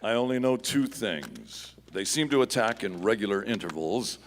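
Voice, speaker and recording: A man acts a masked western hero with a low, measured, authoritative voice. It is old-time radio acting, live in a reverberant hall with clear background noise. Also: sing-song. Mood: grave, assured. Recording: echoey hall, noisy live stage recording.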